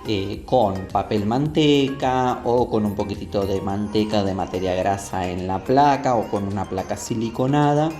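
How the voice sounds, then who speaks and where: Male cooking instructor, Rioplatense accent, slightly low soft-edged voice, instructive delivery, music behind. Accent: Rioplatense Spanish. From Argentina. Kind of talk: step-by-step recipe demonstration. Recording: roomy echo, music behind.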